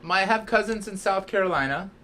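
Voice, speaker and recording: A man's voice: high-pitched, fast, bright, forceful tone, a little hiss or hum. An American man co-hosting a podcast, chatting casually and telling anecdotes in an informal, loosely phrased way. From Southern California, United States. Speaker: podcast co-host. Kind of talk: casual conversational chat.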